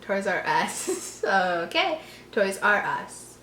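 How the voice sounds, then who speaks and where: A woman's voice: medium pitch, big pitch swings, drawn-out words, sharp tone, reverberant, slight background noise. A woman reading a horror story aloud casually, giggly and reactive, breaking off to joke and comment.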